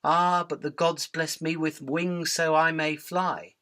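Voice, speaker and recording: A woman's voice: deep voice, fairly even intonation, slow pace, a bit bright. A woman playing a tiny, earnest, defiant winged insect prophet of doom in a radio drama, with an urgent, preachy, sardonic delivery. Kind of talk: theatrical radio drama acting.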